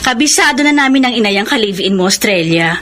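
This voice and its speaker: An actress with a Filipino accent playing a suspicious, protective older cousin in a radio melodrama, blunt in manner. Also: female voice, medium pitch, expressive, fast, fairly bright tone, a little hiss or hum, lightly acted. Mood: needling.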